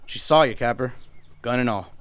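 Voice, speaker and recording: A man playing a steady, stern frontier marshal in a western radio drama. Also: medium pitch, moderate pace, lightly acted. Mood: calm, measured. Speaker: actor in a radio theater.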